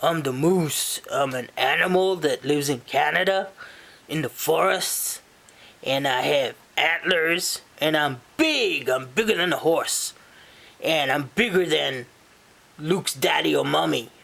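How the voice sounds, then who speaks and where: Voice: medium pitch, slow, vocal fry, a bit bright, pitched below the performer's own voice, full character voice. A person voicing a friendly, dim, rambling male storybook moose with a hesitant, folksy manner. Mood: shy, friendly. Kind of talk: in-character picture book retelling to children.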